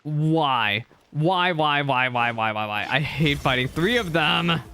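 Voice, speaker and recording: A young man commenting on his own gameplay for his viewers, excitable and quick to complain or cheer. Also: fairly high pitch, clean tone, faint music behind. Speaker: gaming content creator. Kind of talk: live game reaction commentary.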